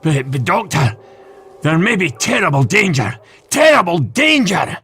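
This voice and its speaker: A man's voice: high-pitched, sing-song, a little slow, a bit bright, slightly rough, pitched above the performer's own voice, heavily acted. A man voicing a fearful, superstitious farmer with old-fashioned rustic talk in a comic dub. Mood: frightened.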